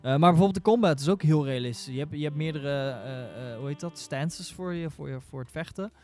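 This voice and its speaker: Higher-voiced man chatting, music behind. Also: fairly quick, a little mellow. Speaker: podcast panelist. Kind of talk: casual discussion about games.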